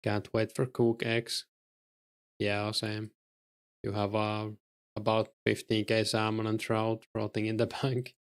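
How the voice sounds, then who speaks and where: Low, flat-voiced Finnish man, gravelly. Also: slow. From Finland. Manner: relaxed, reads and answers chat while playing, with fillers. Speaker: game streamer. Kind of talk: casual stream chat while gaming.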